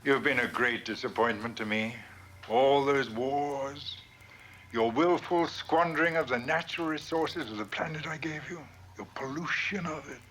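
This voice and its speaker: An actor playing an imperious, courtly being who claims to be God, speaking formally and theatrically in a 1970s TV science-fiction drama. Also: male voice, mid-range voice, moderate pace, fairly bright tone, close to an everyday voice. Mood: stern reproach. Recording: noisy old television soundtrack.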